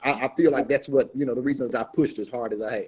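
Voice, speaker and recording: Man, American accent, medium pitch, very husky, halting, earnest, reflective interview guest. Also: fairly even intonation, darkish tone. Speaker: guest actor.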